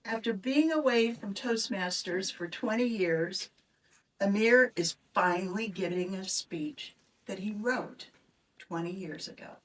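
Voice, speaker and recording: Slow woman introducing a speaker from afar. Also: medium pitch, distant mic, rough recording. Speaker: speech introducer.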